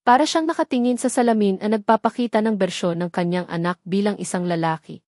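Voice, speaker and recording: A woman's voice: medium pitch, fairly quick, a little mellow, no echo. Filipina woman narrating a story, dry close sound. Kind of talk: scripted dramatic storytelling narration.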